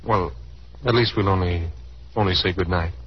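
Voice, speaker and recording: Actor doing awkward polite young suitor guy, low voice, hesitant, old radio drama, muffled. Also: moderate pace, lightly acted. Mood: uneasy. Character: uneasy, evasive young gentleman suitor. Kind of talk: 1930s radio acting. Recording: muffled, noisy 1930s radio broadcast.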